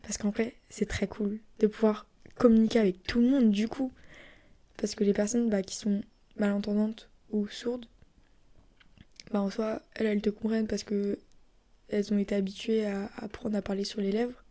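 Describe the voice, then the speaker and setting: A young woman from France chatting casually and rambling on her own podcast, often dropping into soft whispery asides. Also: medium pitch, little pitch movement, moderate pace. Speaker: podcast host. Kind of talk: casual solo podcast chat.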